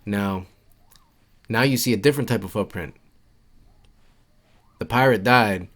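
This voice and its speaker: Low-voiced man narrating a game walkthrough. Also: unhurried, a bit bright. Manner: instructional, informal, repeats key points. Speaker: game guide creator and narrator. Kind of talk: casual walkthrough commentary.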